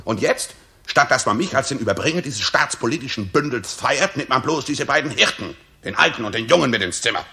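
A man's voice: medium pitch, expressive, fairly quick, pushed and bright. An actor playing a grumbling, self-important roguish messenger in a 1960s German radio play, telling his tale in a boastful, indignant manner.

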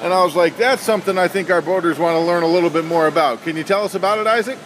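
Upbeat guy hosting a quick interview, kinda high voice, loud expo hall noise behind. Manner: enthusiastic, hearty praise. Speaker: magazine publisher and interviewer. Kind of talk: upbeat trade show interview. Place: busy indoor boat show hall.

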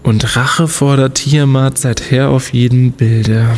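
Male actor as clever student detective, confident, deliberate explainer, medium pitch, comic radio play, music underneath. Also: steady tone. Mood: explaining. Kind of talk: German comedic audio drama acting.

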